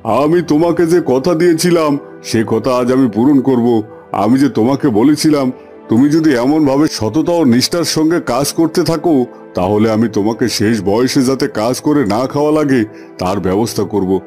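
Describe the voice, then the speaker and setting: A man voicing a kindly, fair landowner and employer with measured authority in a fairy-tale cartoon. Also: medium pitch, dark tone, natural voice. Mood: approving, generous. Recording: music under the voice.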